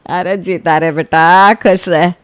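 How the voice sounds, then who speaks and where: A woman's voice: on the low side, fairly even intonation, takes it slowly, clear, resonant tone, dry recording, pitched below the performer's own voice. Woman acting a fussy, generous family matriarch. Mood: pleased, generous. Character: fussy, demanding but generous mother of grown son. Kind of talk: animated storybook dialogue.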